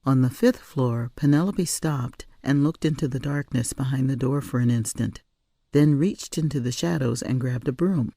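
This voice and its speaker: A woman narrating a story in an expressive audiobook reading style. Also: rumbling low, normal speed, gravelly, no room sound. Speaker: author or reader narrating a story.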